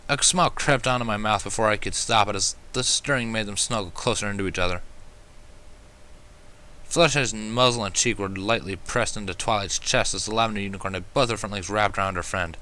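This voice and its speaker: A man's voice: lowish voice, a little fast, bright, forceful tone. A man narrating a first-person fan fiction story, reading expressively as an amateur.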